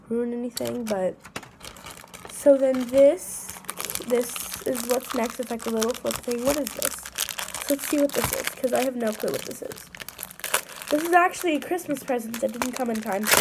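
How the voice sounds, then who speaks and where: High-voiced young woman with a stuffy nose. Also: gravelly, background noise. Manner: chatty, excitable, rambling with fillers. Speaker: vlogger. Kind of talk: casual unboxing chat. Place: indoors at a wooden table.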